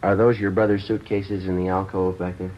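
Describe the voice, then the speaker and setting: A man playing a clipped, deep-voiced police sergeant. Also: brisk, background noise, natural voice. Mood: terse. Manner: clipped, flat, matter-of-fact. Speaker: detective narrator. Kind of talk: 1950s radio drama, clipped narration. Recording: muffled old radio broadcast with music.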